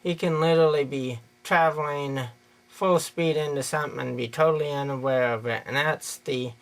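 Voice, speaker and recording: A man's voice: a little high, steady tone, normal speed. An American man giving an unscripted opinion monologue in a rambling, folksy, plain-spoken way. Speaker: video creator giving an opinion.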